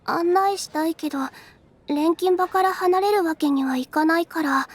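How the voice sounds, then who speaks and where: A woman playing a sweet, earnest young alchemist's assistant in a video game. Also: very high voice. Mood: helpful, apologetic. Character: sweet, earnest girlish alchemist's assistant who admires her teacher. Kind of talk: video game character acting.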